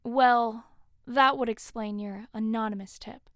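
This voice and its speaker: Audio drama cop, acted by a woman: candid, no-nonsense female officer, raised pitch, dry sound. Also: steady tone, a little slow, dry recording, lightly acted. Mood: businesslike.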